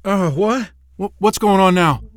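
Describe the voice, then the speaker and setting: An actor playing a defiant, indignant astrophysicist trapped at a polar station in a science-fiction audio drama, sputtering and cursing. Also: male voice, high-pitched, a little slow, no room sound, studio quality. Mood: groggy, evasive.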